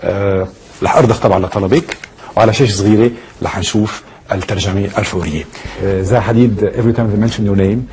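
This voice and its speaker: A man's voice: fairly low pitch, fairly even intonation, racing, a little mellow, a little hiss or hum. A man, a native Arabic speaker, hosting a TV interview and asking pointed, probing questions. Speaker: TV interview host.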